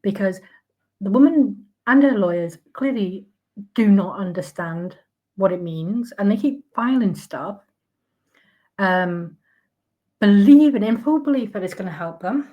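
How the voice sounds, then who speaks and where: A woman with a croaky voice, ranting in casual commentary with outraged sarcasm and plenty of fillers and restarts. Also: medium pitch, expressive, measured pace, pauses often, highs cut off. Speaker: commentary vlogger.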